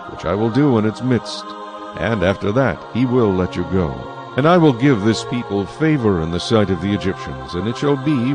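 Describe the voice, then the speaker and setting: An actor playing a solemn, commanding God in a dramatized scripture reading, with measured, authoritative delivery. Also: male voice, on the low side, natural voice. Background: faint music.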